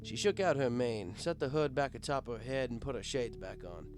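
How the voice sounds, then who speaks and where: A man's voice: mid-range voice, expressive, moderate pace. A man narrating a first-person story as a bartender, conversational and wry. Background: guitar music.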